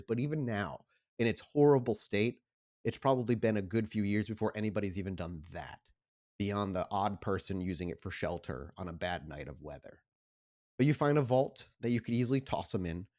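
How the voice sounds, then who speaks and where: A man's voice: lowish voice, a little mellow. A man narrating a tabletop roleplaying game as game master in a conversational, descriptive way.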